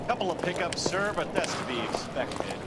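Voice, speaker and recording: A man's voice: high-pitched, lively intonation, normal speed, very noisy. An actor playing a deferential young television crewman, polite and hesitant, in a video game. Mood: reassuring.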